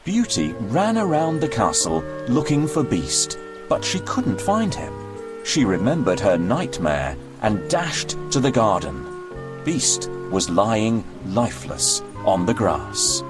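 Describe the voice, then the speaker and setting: A man's voice: lowish voice, sing-song, takes it slowly. A man narrating a scripted children's story as a read-aloud storyteller, in a dry recording with music.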